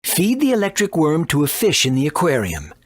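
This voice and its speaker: An actor playing an eccentric, boastful doctor and inventor in a video game, brusque and quick to scold. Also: male voice, a little high, wildly swooping pitch, no room sound. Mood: brisk. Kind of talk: animated video game voice acting.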